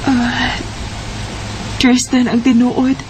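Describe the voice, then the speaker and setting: A young woman acting a hardworking bar promo girl in a homemade radio drama, soft and bashful. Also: on the high side, completely flat delivery, drawn-out words, noisy background. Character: hardworking young woman supporting her siblings. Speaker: bar promo girl who dropped out of school.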